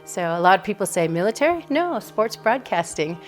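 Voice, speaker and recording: A woman's voice: mid-range voice, very animated, a little fast. A woman in her fifties with an American accent, speaking reflectively as an interviewee. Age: 50s. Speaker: interviewee, broadcaster's wife. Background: background music.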